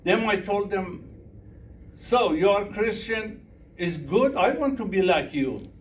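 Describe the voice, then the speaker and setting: Persian-accented man, a minister giving a slow workshop talk in broken English, recorded from afar in an echoey room. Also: sits high, expressive. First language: Farsi. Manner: broken English, repeats questions to the audience. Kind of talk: workshop talk with audience questions. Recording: echoey room from a distance, faint noise.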